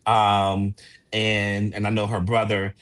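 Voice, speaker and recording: Low-voiced man in casual interview. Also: completely flat delivery, slow pace, a bit bright. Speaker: playwright and producer, guest.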